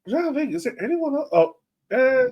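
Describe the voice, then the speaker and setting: A man hosting a casual sports podcast, talking informally and thinking out loud as he goes. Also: very high voice, big pitch swings, brisk, muffled recording. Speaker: podcast host.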